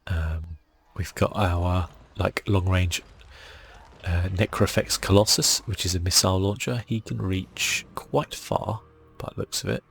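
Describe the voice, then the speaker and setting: A man hosts a gameplay video in ASMR style. His voice is very low, with plenty of creak and a husky, breathy edge. He talks softly and hesitantly, musing over the game as he plays. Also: fairly even intonation, a little slow. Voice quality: husky, breathy, near-whispered at times. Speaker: gaming video host. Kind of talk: relaxed ASMR gameplay commentary.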